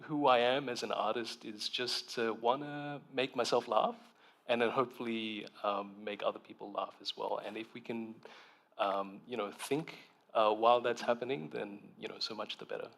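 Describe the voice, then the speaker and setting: A man speaks reflectively as an author on a festival panel. His voice sits at a middle pitch, and he pauses often with ums and restarts as he works through his thoughts. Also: fairly even intonation, vocal fry, a bit bright.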